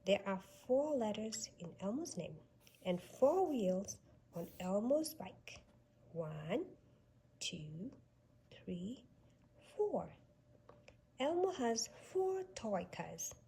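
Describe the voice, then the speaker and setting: A woman reading a children's storybook aloud with expressive, sing-song delivery aimed at young children. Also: medium pitch, extremely slow, pauses often, slightly rough. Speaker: storybook reader.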